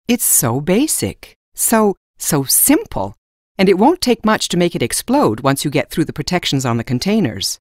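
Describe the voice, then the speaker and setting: A woman's voice: on the low side, big pitch swings, moderate pace, darkish tone, no echo, studio quality. A woman playing a protective, earnest mage in an audiobook drama, speaking firmly and expressively. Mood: earnest concern.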